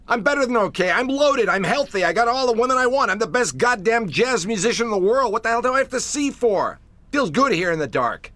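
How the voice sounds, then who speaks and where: An actor playing a cocky, hard-drinking American blind jazz pianist in a radio drama, wisecracking and slangy. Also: male voice, very high-pitched, animated, a little fast, bright, forceful tone, dry recording, exaggerated voice. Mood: agitated bravado. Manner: wisecracking, bravado-filled, slangy.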